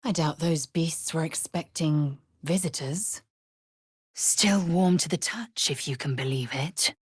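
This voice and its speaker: A proud, zealous young warrior woman from a fantasy video game. Her voice is low and very husky, and she speaks in slow, clipped, formal phrases with imperious disdain. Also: dry recording, studio quality, heavily acted. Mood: observant. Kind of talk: video game character voice acting.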